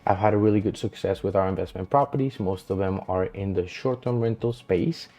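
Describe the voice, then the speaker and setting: A man's voice: low-pitched, fairly quick, warm tone. A man, talking to camera from a small home studio in an easygoing, earnest way as a real estate agent introducing himself. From Colombia. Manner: easygoing, earnest, loose filler-heavy delivery. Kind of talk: self-introduction vlog. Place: small dim home studio with colored lights.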